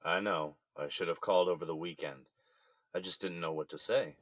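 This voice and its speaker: A man's voice: low-pitched, fairly even intonation, brisk, a bit bright, no echo. A man playing a weary, embattled middle-aged son in an audio drama, downcast, frustrated and bitter.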